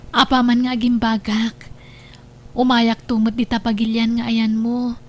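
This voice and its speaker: A woman acting a gentle, trusting, ailing young girlfriend in an Ilocano audio drama, with a soft, tender manner. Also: a little high, level tone, unhurried. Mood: tender, hopeful.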